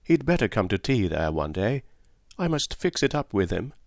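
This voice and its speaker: A man's voice: on the low side, sing-song, moderate pace, gravelly, a little mellow, dry recording, clean studio sound. A male narrator voicing a kind, candid young English woman who talks in an earnest, confiding, slightly plaintive way. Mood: bright, fond. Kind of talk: audiobook character voice.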